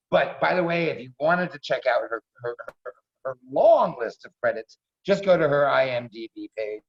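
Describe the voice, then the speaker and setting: A man's voice: mid-range voice, vocal fry. A middle-aged man casually introducing performers as host of a staged reading over a video call, effusive and repeating himself for emphasis. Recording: dull video call audio.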